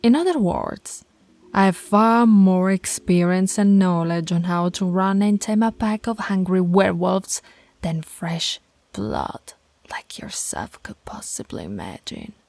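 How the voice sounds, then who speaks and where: A woman voicing a dominant female alpha werewolf in an intimate roleplay, commanding yet affectionate, with a breathy, husky voice and slow, deliberate delivery. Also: mid-range voice, animated, a little mellow. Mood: stern, commanding. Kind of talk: intimate ASMR roleplay voice acting.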